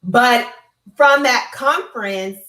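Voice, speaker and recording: A woman's voice: fairly high pitch, slow pace, pushed and bright. A woman giving a casual, heartfelt personal testimonial as a guest, talking effusively and conversationally. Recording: roomy, echoey sound.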